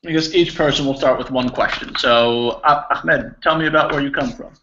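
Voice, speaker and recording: A man's voice: mid-range voice, normal speed, sharp tone, slight background noise. A man from New Jersey teaching an online English class, giving friendly explanations to students. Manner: encouraging, explaining. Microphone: video call. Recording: slightly dull video call audio.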